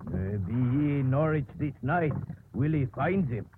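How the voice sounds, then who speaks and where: Man playing halting simpleton, noisy old radio. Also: mid-range voice, expressive, lightly acted. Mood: matter-of-fact. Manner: halting, speaks of himself in third person. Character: simple, devoted rustic English handyman. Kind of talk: 1950s radio drama acting. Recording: noisy muffled 1950s radio recording.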